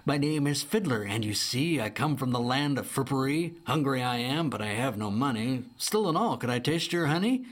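A man's voice: mid-range voice, animated, normal speed, few pauses, lightly acted. A man voicing a sly, smiling male bear who speaks in rhymes, in a storybook.

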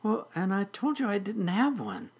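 Tiny high-pitched sweet orphan kitten. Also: huge pitch swings, darkish tone, breathy edge, exaggerated voice. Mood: sweetly stubborn. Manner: mewing, pleading, halting. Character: small orphaned kitten, sweet, timid but insistent.

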